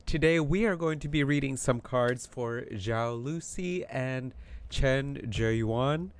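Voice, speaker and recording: A man hosting a casual tarot card reading, talking in an animated, chatty way with plenty of fillers, his voice tired from yelling. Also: medium pitch, takes it slowly, a little hiss or hum. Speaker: tarot reader.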